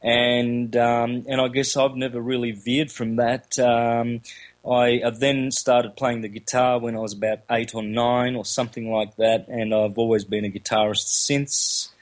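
A man with an Australian accent, first language Calabrian, speaking as an interview guest, halting with many fillers and restarts. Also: mid-range voice, level tone. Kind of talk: casual interview talk.

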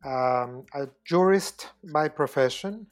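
A man in his fifties from Costa Rica, answering interview questions in a measured, deliberate way with frequent pauses. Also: on the high side, faint music behind. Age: 50s. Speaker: guest, UN human rights expert.

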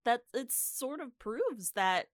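A woman's voice: fairly high pitch, very animated, takes it slowly, no room sound, studio quality. A woman co-hosting a podcast, chatting casually and reflectively, leaning on her words for emphasis. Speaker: podcast co-host.

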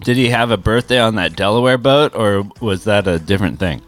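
Low-voiced male co-host, dry wisecracker, loves mock-formal asides. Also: brisk, soft background music. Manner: wisecracking, mock-grandiose, deadpan interjections. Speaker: podcast co-host. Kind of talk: casual comedic chat.

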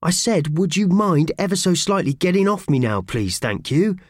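A man's voice: high-pitched, sing-song, moderate pace, dry recording, studio quality, pitched above the performer's own voice. A man voicing a small, cheerful, easygoing creature in a children's story, with a simple chirpy manner.

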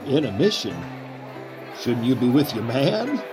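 A man acting a suave, proud Confederate conspirator in a period drama, smooth-talking and probing, with music underneath. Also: mid-range voice, big pitch swings, unhurried.

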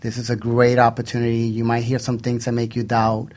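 A man's voice: mid-range voice, monotone, brisk, a little mellow. A man co-hosting a radio show in a studio with casual, flirtatious banter.

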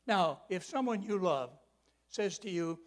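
An elderly man in his eighties delivers a sermon in a slightly raised voice. He leans hard on key words, stretching them out, then slips into quiet, homely asides and personal stories. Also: high voice, expressive, unhurried. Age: 80s. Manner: folksy personal storytelling. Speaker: preacher.

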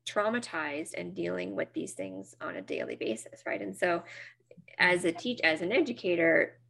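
A woman's voice: fairly low pitch, big pitch swings, normal speed, vocal fry, fairly bright tone. A middle-aged woman from Nova Scotia with a Canadian accent, speaking earnestly as a therapist guest in an interview, with many fillers and restarts. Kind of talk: interview conversation.

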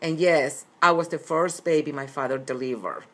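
Interviewee: middle-aged woman, low voice, earnest and repetitive. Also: normal speed, a bit bright. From Colombia. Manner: earnest, repeats phrases. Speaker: interviewed author. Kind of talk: recorded interview.

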